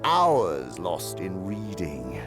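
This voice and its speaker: An actor playing a sly, condescending older demon uncle who lectures pompously and theatrically in a radio drama. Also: male voice, deep voice, wildly swooping pitch, very slow, music in the background, exaggerated voice. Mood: lecturing.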